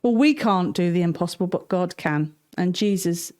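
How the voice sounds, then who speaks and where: A woman's voice: lowish voice, normal speed, a little mellow. A woman hosting informal Bible storytelling for children in a chatty, giggly, enthusiastic way. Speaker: storytelling host.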